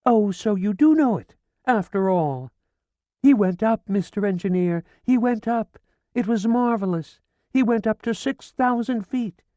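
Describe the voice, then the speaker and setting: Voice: animated, a little slow, dark tone, dry recording, natural voice. A reader voicing a frantic, grieving older woman who pleads and nearly screams, in an audiobook. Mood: hysterical pleading. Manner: shrill, pleading, near screaming. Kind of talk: audiobook character voice.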